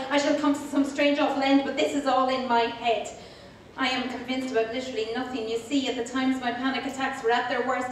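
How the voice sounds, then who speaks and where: A woman with an Irish accent performing spoken-word poetry in a deliberate, rhetorical storytelling delivery. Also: high voice. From Ireland. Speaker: slam poet. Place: indoor poetry slam event. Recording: very echoey room, distant mic, faint noise.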